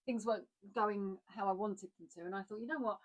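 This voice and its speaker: A woman's voice: mid-range voice, fairly even intonation, a little fast. Candid, reflective woman co-hosting a chat. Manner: candid, reflective, with frequent restarts. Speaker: podcast co-host. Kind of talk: casual chat.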